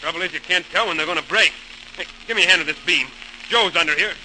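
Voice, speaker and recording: A man's voice: a little high, fast talker, bright, forceful tone. An actor playing an urgent railway workman in 1940s radio style, speaking colloquially. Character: excitable male railway workman shouting warnings. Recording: noisy old radio transcription.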